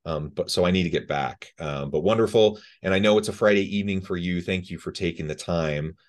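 Low-pitched male podcast host. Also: brisk. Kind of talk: conversational podcast hosting.

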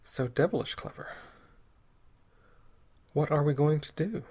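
A man voicing a grim hero who speaks quietly and coldly. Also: fairly high pitch, lively intonation, unhurried, breathy edge. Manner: quiet, cold, clipped. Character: grim adventurer hero, cold and restrained.

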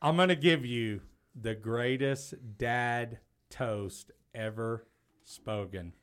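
A man's voice: medium pitch, slow, fairly bright tone, clear, resonant tone. A man in his forties, a guest on a casual podcast, joking and teasing over drinks with a playful swear now and then. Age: 40s.

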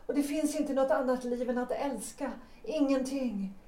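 An actress voicing Gertrud, a passionate woman pleading for love in an audio drama, hushed one moment and emphatic the next. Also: high voice, natural voice. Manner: intense, pleading, shifts between hushed and emphatic. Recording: roomy echo.

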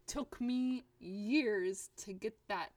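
Young woman, Arabic speaker, animated casual chat, dry close sound. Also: medium pitch, very slow, no room sound. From Libya. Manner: animated, laughing, mixes Arabic and Italian words.

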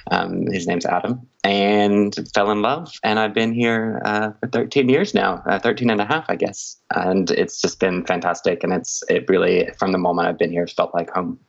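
A man from Oklahoma with a very deep voice, chatting loosely as a podcast guest and filling his pauses with ums and uhs. The sound is dull and lo-fi, like a remote call. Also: vocal fry. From Oklahoma, USA. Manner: chatty, laughs easily, many ums and uhs. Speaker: podcast guest, quilt maker. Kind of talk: casual interview chat. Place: home in Sydney, remote call.

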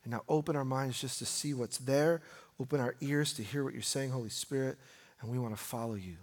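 A man's voice: medium pitch, brisk. An American man preaching a conversational sermon as a pastor, informal in manner, posing rhetorical questions and repeating phrases for emphasis. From United States. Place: large reverberant room, preaching live. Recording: reverberant hall.

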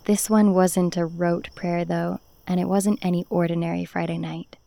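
A woman narrates a scripted podcast as its host. Her voice is on the low side and very mellow, with a noticeable fry, delivered as prepared narration. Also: level tone, moderate pace.

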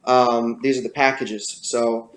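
Raspy guy chatting in an interview, lots of ums, roomy echo, faint music behind. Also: medium pitch, little pitch movement. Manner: halting, many fillers and restarts. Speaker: guest musician. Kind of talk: casual interview talk.

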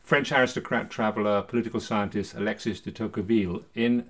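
Low-voiced man explaining in an erudite way. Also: steady tone, a little fast, a bit bright. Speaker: podcast host. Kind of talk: discursive conversation with read-out historical quotes.

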